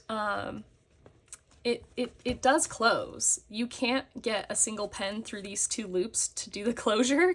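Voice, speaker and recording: Young woman a bit raspy today, kinda high voice, chatty w lots of ums and little laughs. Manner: chatty, with fillers and frequent laughs. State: slightly hoarse after a concert. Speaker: planner vlogger. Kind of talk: casual show-and-tell chat. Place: indoors at a desk, hands on a table.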